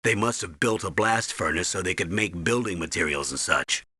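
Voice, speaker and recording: An actor playing a stern older colonel in a video game, briefing and giving orders with a husky, commanding voice. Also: male voice, sits low, lively intonation, normal speed, pushed and bright, dry recording, studio quality, full character voice. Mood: instructive. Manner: commanding, urgent, instructive.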